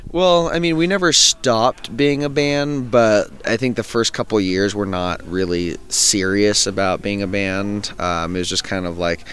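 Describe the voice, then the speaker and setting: A man's voice: fairly low pitch, steady tone, moderate pace, hardly any pauses, a bit bright, clear, resonant tone. A young man who sings in a punk band, answering interview questions in a rambling, casual way with lots of fillers. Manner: rambling, many fillers and restarts. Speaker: lead vocalist and guitarist of a punk band.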